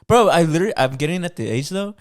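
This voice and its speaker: Young man, podcast co-host, very lively intonation, slangy, profane, informal chat. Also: a little high, very fast. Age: young adult. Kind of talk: casual conversational chat.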